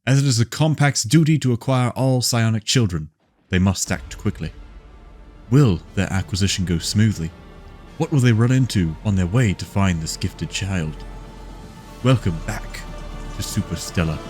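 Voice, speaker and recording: A man's voice: lowish voice, moderate pace, a little mellow. A man narrating the opening of an audio drama in a dramatic, announcer-like way. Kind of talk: scripted narration. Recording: faint music behind.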